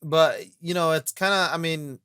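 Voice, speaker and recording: A man's voice: fairly high pitch, moderate pace, fairly bright tone, clean tone, dry recording, studio quality. A man co-hosting a casual podcast chat, talking earnestly and rambling with lots of filler phrases. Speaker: podcast co-host and voice actor.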